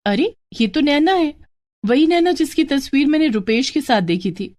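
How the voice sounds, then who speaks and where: A woman voicing a determined, caring young married woman in a dramatized moral story, with expressive, earnest delivery. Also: high-pitched, moderate pace, pitched above the performer's own voice. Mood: excited. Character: determined, caring young married sister-in-law, sly and warm.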